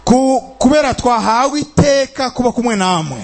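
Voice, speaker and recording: Gravelly high-voiced man shouting. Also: expressive, unhurried. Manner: loud, repeating phrases with restarts. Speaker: interpreter. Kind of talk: shouted interpretation of a sermon.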